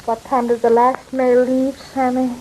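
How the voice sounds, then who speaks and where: An actress playing a worried middle-aged mother in a 1930s radio drama, talking in a hesitant, halting way. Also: sits high, flat delivery, darkish tone, low-quality recording. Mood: fretting. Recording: old radio recording with faint hiss.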